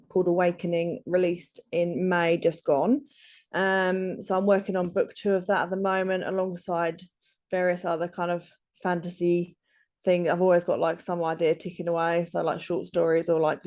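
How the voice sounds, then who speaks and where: A woman in her thirties speaks as a guest author in a relaxed interview. Her voice sits on the low side, and she hesitates often, circling back with ums and restarts as she gathers her thoughts. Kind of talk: casual interview.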